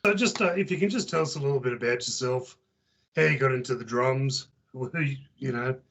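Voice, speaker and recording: A man's voice: mid-range voice, a little fast, a bit bright, highs cut off. A man with an Australian accent hosting an informal interview, speaking in casual, slightly hesitant phrases. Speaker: interviewer, host. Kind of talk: casual chat.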